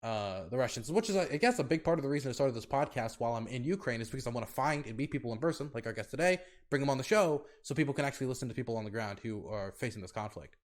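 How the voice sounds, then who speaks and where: American male host type, loose rambling delivery, mid pitch, keeps circling back with "like". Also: animated, rapid-fire, few pauses. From United States. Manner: rambling, many fillers and restarts. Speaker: podcast host. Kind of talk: casual podcast chat.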